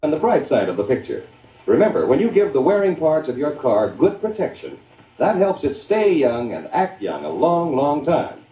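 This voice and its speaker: A man's voice: a little high, wildly swooping pitch, moderate pace. A man reading a scripted old-time radio commercial as its lead announcer. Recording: tinny, echoey vintage radio recording.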